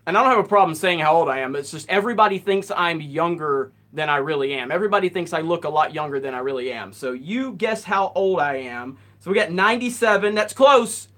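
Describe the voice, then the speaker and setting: A man in his late thirties hosting a live Q&A stream, reading out viewer comments and answering in a relaxed, rambling way. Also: a little high, brisk, hardly any pauses. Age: 30s. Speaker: host.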